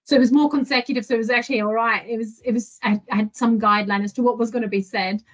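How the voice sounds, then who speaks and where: Woman, podcast guest, animated storytelling, bright lilting voice, dull lo-fi audio. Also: on the high side, fast. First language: not French. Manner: animated storyteller, laughs often. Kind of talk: casual interview.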